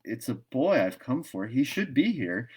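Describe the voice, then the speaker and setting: A man voicing a shy, bewildered countryman in a storybook, halting and plain-spoken. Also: mid-range voice, very animated, husky, voice pushed up. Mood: blank, bewildered. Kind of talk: storybook read-aloud.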